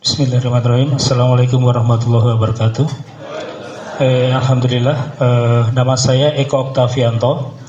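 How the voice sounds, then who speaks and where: A man's voice: medium pitch, level tone, a little fast, darkish tone, dull audio. A man in his forties with a Javanese Indonesian accent gives an informal self-introduction to a gathering. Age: 40s. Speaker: participant introducing himself to a group. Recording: echoey room.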